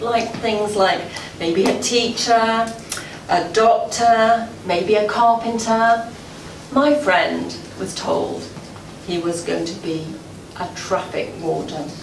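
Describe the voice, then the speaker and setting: A woman delivering a prepared after-dinner address as club president, telling a story in a deliberate, measured way with dramatic pauses. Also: medium pitch. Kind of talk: prepared public speech, storytelling. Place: indoor gala dinner room before an audience. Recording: echoey room, far from mic, noisy.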